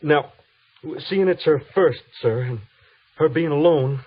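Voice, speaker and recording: An actor playing a humble, anxious young cavalry trooper with a hesitant, folksy, pleading manner in an old-time radio western. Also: male voice, on the high side, slow pace, slightly rough. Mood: anxious, halting. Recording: muffled old radio broadcast.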